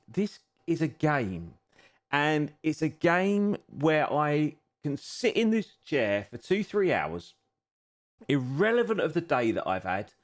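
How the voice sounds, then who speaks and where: Lively, self-deprecating man in his fifties. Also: fairly high pitch. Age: 50s. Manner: chatty, self-deprecating, chuckles often. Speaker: sim racing streamer. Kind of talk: casual live stream chat. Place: seated at a home racing simulator rig.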